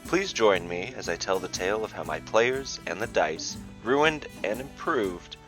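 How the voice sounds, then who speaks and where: A man reading scripted fantasy narration as a podcast storyteller, in a measured, dramatic way. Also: fairly low pitch, animated, over music. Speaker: storyteller and dungeon master, podcast host.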